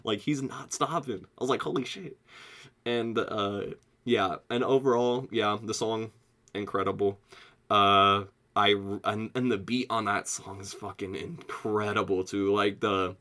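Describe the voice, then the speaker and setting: A young American man giving a casual, excitable album review, slangy and sweary, saying "like" constantly. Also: fairly low pitch, a little slow. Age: young adult. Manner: excitable, slangy, heavy use of "like", frequent swearing. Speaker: music reviewer.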